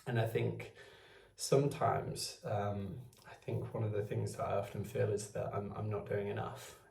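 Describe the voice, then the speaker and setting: Low gravelly man musing aloud. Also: little pitch movement, moderate pace, a little mellow, reverberant, distant mic. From Wales. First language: Welsh. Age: 20s. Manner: meandering, thinking aloud. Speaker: vlogger. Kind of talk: unscripted musing to camera. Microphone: phone.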